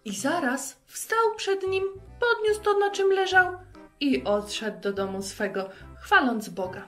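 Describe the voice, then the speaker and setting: Expressive woman storyteller for children. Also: high voice, measured pace, faint music behind. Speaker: children's Bible show presenter. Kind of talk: expressive scripture reading and talk to children.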